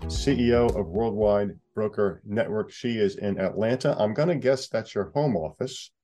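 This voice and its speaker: A man interviewing a guest over a video call, friendly and halting, with many restarts. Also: on the low side, dark tone, soft background music. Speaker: interviewer, associate editor. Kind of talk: casual interview conversation.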